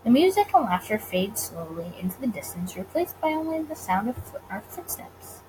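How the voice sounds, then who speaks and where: Woman, fairly high voice, lively intonation, casual let's play narration, faint background noise. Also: moderate pace. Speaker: gaming video creator.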